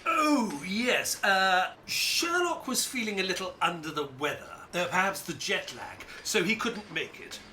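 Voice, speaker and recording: An actor playing a polite, earnest detective's companion who narrates an audio drama in a formal, theatrical storytelling manner. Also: male voice, sits high, sing-song, unhurried, hardly any pauses, pushed and bright, slight background noise, exaggerated voice. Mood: polite, flustered.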